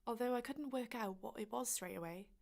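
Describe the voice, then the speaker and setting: A woman's voice: a little high, a little fast, creaky, darkish tone. A woman playing a jumpy neighbour with a vivid imagination in a radio play monologue. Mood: wry, recounting alarm.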